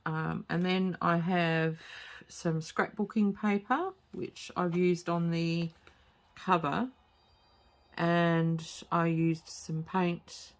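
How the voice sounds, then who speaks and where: A woman with a slightly croaky voice, hosting a casual craft tutorial at home and talking hesitantly with many fillers. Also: low voice. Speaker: crafting channel host. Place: home craft table, late at night.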